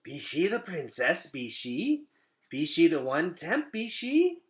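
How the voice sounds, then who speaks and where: A man voicing an awed, reverent giant cockroach in a storybook, with halting, repetitive phrasing. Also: on the high side, huge pitch swings, pitched above the performer's own voice, full character voice. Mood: wondering.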